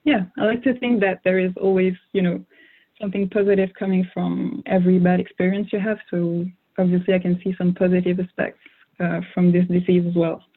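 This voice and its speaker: A woman's voice: lowish voice, flat delivery, a little fast. A young woman whose first language is French, answering interview questions thoughtfully with frequent fillers and restarts. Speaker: interview guest. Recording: phone-like narrow sound.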